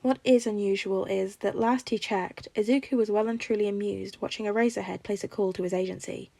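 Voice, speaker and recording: Woman reading fiction like an audiobook, bit of fry in her voice, no room sound at all. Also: mid-range voice, fairly even intonation, a little fast, mellow, no room sound. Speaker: podfic narrator. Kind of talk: scripted fiction narration.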